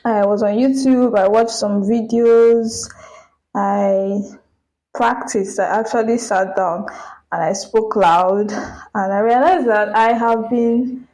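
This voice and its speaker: A soft-spoken woman with a Nigerian accent, hosting a casual solo podcast and chatting candidly, speeding up when she tells stories. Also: fairly high pitch, fairly even intonation, slow. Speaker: podcast host. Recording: roomy echo.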